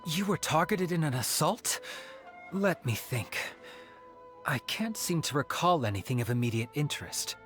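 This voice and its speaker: A chatty, lively town detective. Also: male voice, medium pitch. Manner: eager, talkative, expressive. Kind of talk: video game dialogue.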